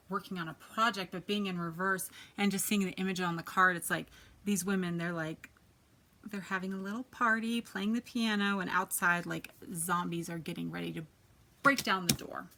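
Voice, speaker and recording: A soft-spoken woman with a quiet voice, chatting casually as she gives a tarot reading, often dropping to a near whisper. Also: lowish voice, fairly even intonation, normal speed. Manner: chatty, many fillers, drifts to near-whisper. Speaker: tarot reader. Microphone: quiet home recording.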